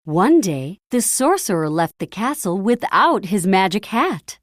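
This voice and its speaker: Animated, unhurried woman storyteller. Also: medium pitch, no echo, clean studio sound. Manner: deliberate, dramatic storytelling with emphatic phrasing. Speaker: storybook narrator. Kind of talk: expressive children's read-aloud narration.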